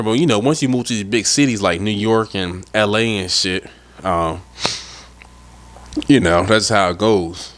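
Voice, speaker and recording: A young man hosting a casual solo podcast, rambling and cursing freely, sounding a little stuffed up from a head cold. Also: mid-range voice. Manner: rambling, profane, laid-back. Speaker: podcast host.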